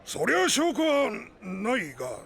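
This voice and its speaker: A male actor playing a gruff, rough-spoken market townsman in an anime audio drama, blunt and excitable, with a raspy, gravelly voice. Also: very high voice, a little slow, full character voice. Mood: defensive. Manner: blunt, rough colloquial speech.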